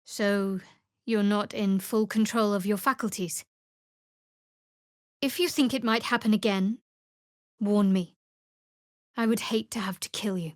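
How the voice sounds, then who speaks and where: A guarded young priestess of a dark goddess, speaks in a video game with a wry, clipped edge. Her voice sits a little on the high side for a woman. The sound is close and dry, a clean studio take with no room on it, and her sardonic bite stays controlled. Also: dry recording. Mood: guarded, matter-of-fact.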